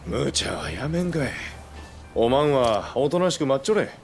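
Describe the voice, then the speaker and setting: A man's voice: mid-range voice, moderate pace, vocal fry, faint music behind. A man playing a hearty, impulsive young samurai with a rustic Tosa accent in a Japanese video game. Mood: eager. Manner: rustic, familiar, expressive.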